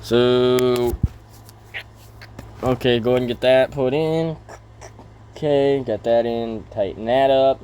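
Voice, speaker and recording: A man giving casual role-play gameplay commentary in a halting way, thinking aloud as he hosts. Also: medium pitch, steady tone, dark tone, slight background noise. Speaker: gaming video host.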